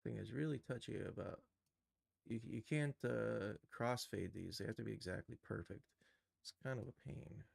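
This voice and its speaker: A man with a heavily creaky, gravelly voice talks through his on-screen work in a casual livestream tutorial. He thinks aloud and mutters to himself, and the sound is close and dry. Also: on the low side, normal speed, no room sound.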